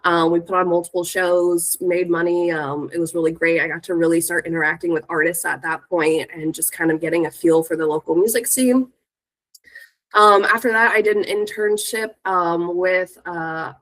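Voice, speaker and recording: A woman's voice: lowish voice, rough recording. An American woman in her thirties with a stuffy, congested voice from a cold, giving an informal, chatty talk about herself as a guest speaker. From Northern California, United States. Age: 30s. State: sick with a cold. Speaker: guest speaker, music industry professional.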